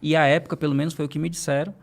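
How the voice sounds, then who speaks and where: A man in a podcast studio talks fast in a voice pitched a little high for a man, moving from one anecdote to the next. He plays his own stories down with light humor, and his tone stays conversational and easy. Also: level tone, creaky. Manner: brisk, anecdotal, gently self-deprecating. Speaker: physics teacher, podcast guest.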